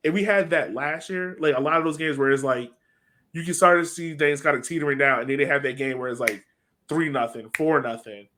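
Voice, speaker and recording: A man's voice: fairly high pitch, brisk, sharp tone. A man co-hosting a soccer podcast, chatting casually through analysis and repeating phrases for emphasis. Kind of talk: casual sports analysis chat.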